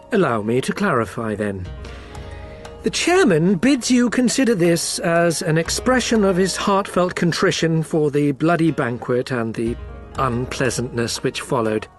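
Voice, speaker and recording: Slick game merchant man, flowery and sly, highish voice that swoops around, music behind. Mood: smooth persuasion. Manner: florid, unctuous, teasingly polite. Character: suave, theatrical, slyly courteous male merchant envoy. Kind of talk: video game cutscene acting.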